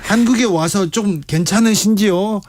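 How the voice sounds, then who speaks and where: A male radio host asks brisk questions and keeps nodding along with quick short replies. His voice sits fairly high for a man. The studio sound is dry and close. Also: a little mellow, no room sound. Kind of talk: radio interview.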